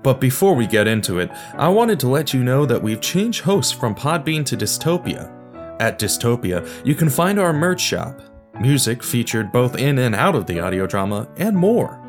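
A man's voice: medium pitch, sing-song, normal speed, faint music behind. A man hosting a podcast, reading scripted narration in an explanatory, presentational way. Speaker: podcast host.

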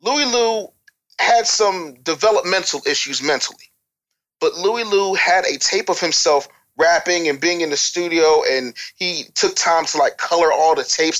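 A man's voice: a little high, a bit bright, low-quality recording. A man giving candid advice and telling anecdotes as a guest expert, speaking bluntly and emphatically with casual swearing. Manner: blunt, emphatic, profane, lecturing. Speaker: guest expert on music business and promotion.

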